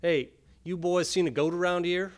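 A man voicing a gruff rural farmer looking for his goat, talking in a drawling, folksy way. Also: on the high side, normal speed, pitched above the performer's own voice, natural voice. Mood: puzzled. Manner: drawling, folksy country talk.

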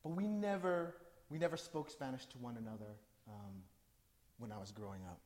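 A man's voice: medium pitch, moderate pace, darkish tone. A middle-aged man from New Jersey who chats casually with a live audience, then performs a spoken word poem with rising intensity. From New Jersey, USA. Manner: conversational, asks the audience questions, then recites with rising intensity. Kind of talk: live poetry reading with talk to audience.

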